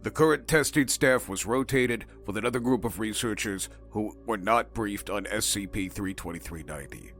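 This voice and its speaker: A man's voice: lowish voice, normal speed, few pauses, a bit bright, soft background music. A man narrating in the scripted, formal style of a clinical scientific report.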